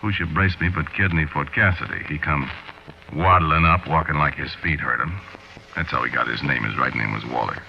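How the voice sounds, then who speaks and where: An actor playing a weary, streetwise American small-time crook in a 1940s radio drama, confiding and fatalistic, with slangy, halting, conversational delivery. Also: male voice, extremely low pitch, vocal fry. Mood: grim, confiding. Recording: muffled 1940s radio recording.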